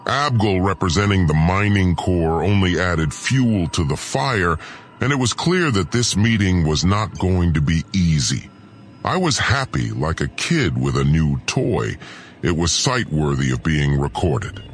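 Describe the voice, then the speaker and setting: A low-pitched, haughty alien prince, acted by a man, tells his tale in grandiose audio drama style. He is scheming and contemptuous, sneering at everyone beneath him, with clear music playing under his voice. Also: expressive, measured pace. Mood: gloating. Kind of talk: dramatic first-person audio drama narration.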